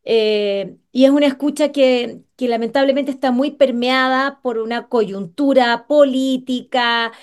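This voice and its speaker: A woman from Chile hosting a conversational interview, pausing often with fillers and restarting her sentences. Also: sits high, steady tone, clean tone. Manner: hesitant, many fillers and restarts. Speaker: radio show host.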